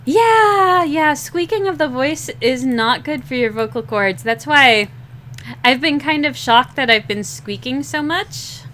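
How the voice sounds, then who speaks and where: A young woman with a slightly rough, scratchy voice, rambling and laughing at herself in a casual chat while drawing, short on sleep. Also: a little high, fairly bright tone. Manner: rambling, giggly, self-deprecating. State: sleep-deprived. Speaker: digital artist streaming a drawing session.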